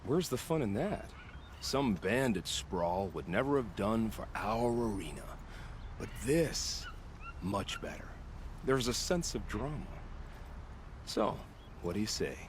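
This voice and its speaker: Low-voiced, theatrical bloodthirsty hunter. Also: male voice, sing-song, slow, slight background noise. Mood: eager, seductive. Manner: poetic, dramatic, savoring phrases. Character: suave, bloodthirsty male hunter, wistful and theatrical. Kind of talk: video game cutscene acting.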